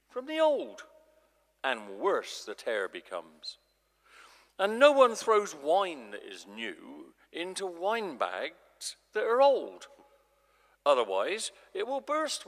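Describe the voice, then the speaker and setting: A man playing an authoritative male religious teacher in a live dramatic scripture reading, declaiming in measured, emphatic phrases. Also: fairly high pitch, fairly bright tone, exaggerated voice. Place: large church, live performance. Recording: echoey church, long reverb.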